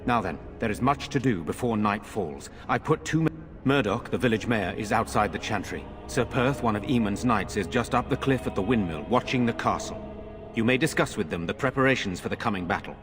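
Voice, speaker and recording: A man's voice: lowish voice, lively intonation, over music, lightly acted. A man voicing a grave, earnest noble lord. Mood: practical. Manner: measured, formal, earnest. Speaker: village lord. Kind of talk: game voice acting.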